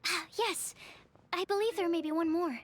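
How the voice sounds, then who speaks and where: A studious young female researcher from an anime game. The voice is very high and girlish, with careful, polite, earnest phrasing, set over a music track. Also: moderate pace. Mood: thoughtful. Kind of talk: anime video game voice acting. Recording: background music.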